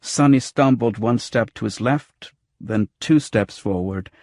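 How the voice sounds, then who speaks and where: A man's voice: on the low side, unhurried, a little mellow, dry recording, studio quality. A man narrating scripted first-person noir fiction as an audiobook.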